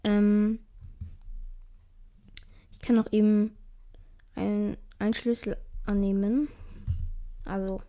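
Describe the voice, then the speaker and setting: A young woman chatting casually through mobile game challenges, a little hoarse, her voice often climbing high and cracking. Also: little pitch movement, slow, pauses often, narrow, tinny audio. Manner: voice keeps rising high at phrase ends; chatty, swears casually when she fails. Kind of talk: casual gameplay commentary.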